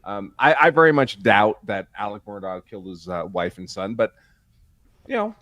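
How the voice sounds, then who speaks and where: A man's voice: fairly low pitch, animated, moderate pace, fairly bright tone, no room sound. A man giving casual legal commentary on a live stream, in a wry, joking and opinionated way.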